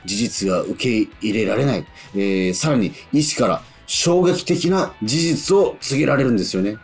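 Low-voiced guy hosting a radio show, really animated intonation, music underneath. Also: moderate pace, husky. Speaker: radio show host and actor. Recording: music playing behind the voice.

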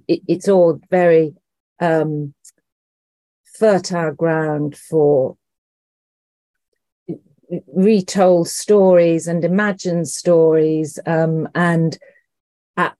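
A middle-aged woman from Liverpool, answering interview questions thoughtfully and haltingly as she searches for words. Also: fairly low pitch, mellow, no room sound. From Liverpool, England. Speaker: interview guest, writer and actress.

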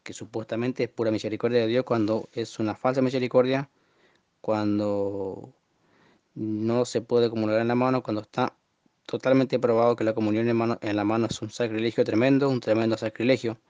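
A man in his early twenties with a northern Argentine accent, speaking off the cuff in an earnest, indignant, halting monologue. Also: steady tone, darkish tone, no room sound. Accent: northern Argentine Spanish. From Formosa, Argentina. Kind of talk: unscripted monologue to camera. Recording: muffled, dull low-fidelity audio.

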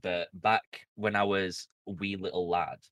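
A young British man co-hosting a casual podcast chat, slangy and excitable. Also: low-pitched, unhurried, slight background noise. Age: young adult. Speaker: podcast co-host.

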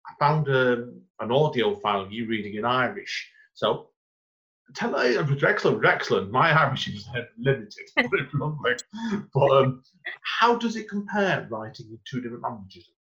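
A man's voice: medium pitch, sing-song, normal speed, bright, forceful tone. Male podcast host, chatty and encouraging, mid voice, slightly muffled line. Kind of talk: casual interview chat.

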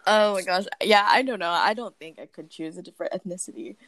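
A woman's voice: lowish voice, fast, fairly bright tone, no echo, poor audio. A young woman hosting a casual question-and-answer chat, talking in a bubbly, giggly way with lots of fillers. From Philippines. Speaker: host.

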